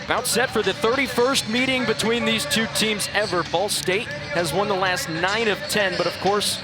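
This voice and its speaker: A man calling live volleyball play-by-play as a TV announcer, getting excitable on big plays in an indoor arena. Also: high-pitched, moderate pace. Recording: loud crowd and arena noise. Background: crowd, bouncing balls, music.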